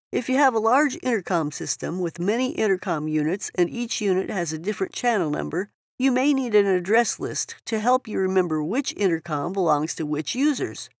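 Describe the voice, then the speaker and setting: A woman's voice: mid-range voice, no room sound, clean studio sound. A woman reading scripted instructional narration for a product tutorial.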